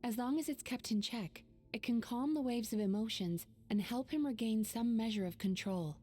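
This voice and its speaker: A woman playing a calm, wise young dream healer in a video game, explaining things in a measured, composed way. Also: on the high side, soft background music, natural voice. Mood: explanatory.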